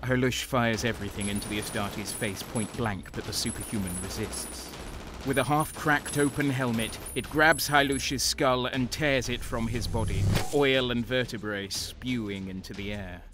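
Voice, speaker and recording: A man's voice: mid-range voice, steady tone, normal speed, close to an everyday voice. A man playing a renegade guardsman who narrates his own battles in a first-person audio drama. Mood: grim, intense. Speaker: narrator and main character. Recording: music behind the voice. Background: dramatic music.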